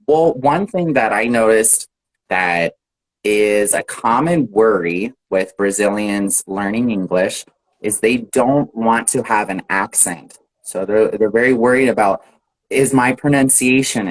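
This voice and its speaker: An American man with a fairly low voice talks easily on a live video call. He is relaxed and chatty and laughs often as he speaks. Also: slow, low-quality recording. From United States. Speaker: English teacher. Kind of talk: casual live chat.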